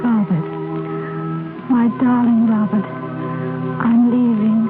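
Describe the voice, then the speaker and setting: A woman's voice: on the high side, steady tone, takes it slowly. An actress playing a tender, sorrowful blind woman drifting in delirium, in a 1930s radio drama. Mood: dazed, sorrowful. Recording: muffled old radio, loud music underneath. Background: loud music.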